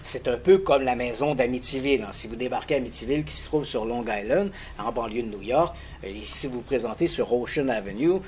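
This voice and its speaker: A man explaining a paranormal case in detail as a guest, lively and quick to laugh. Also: mid-range voice, a little hiss or hum. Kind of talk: casual explanatory storytelling.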